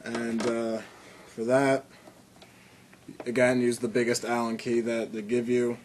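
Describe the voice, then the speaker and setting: A man's voice: mid-range voice, flat delivery, a little slow, darkish tone, a little hiss or hum. A man casually walking through a hands-on tutorial, rambling with fillers and restarts, and coughing now and then. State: occasional cough. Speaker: video tutorial maker. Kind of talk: casual how-to demonstration.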